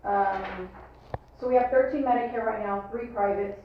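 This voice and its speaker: A woman giving a spoken report at a board meeting as a staff member, with halting, hesitant phrasing. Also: mid-range voice. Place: meeting room, distant microphone. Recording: dull echoey far-off room, faint noise.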